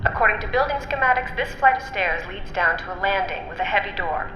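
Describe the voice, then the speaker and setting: A woman playing a chipper military assistant who reports in a brisk, crisp manner in a radio drama. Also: medium pitch, animated, dull audio, natural voice. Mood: brisk, efficient. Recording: radio drama, effects noise, heard over intercom.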